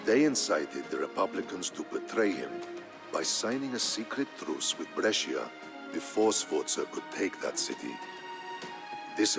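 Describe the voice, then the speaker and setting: A man narrating a historical tale in a scripted, dramatic style, solemn and measured. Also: deep voice, gravelly. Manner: solemn, measured storytelling delivery. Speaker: narrator of a strategy game campaign story. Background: background music.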